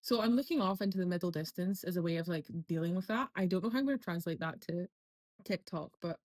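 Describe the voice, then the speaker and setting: A woman's voice: on the low side, fast, a little mellow. A woman in her twenties with a posh Scottish accent, chatting casually and rambling through a vlog. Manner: rambling, chatty, lots of fillers. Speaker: vlogger. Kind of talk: casual talk to camera.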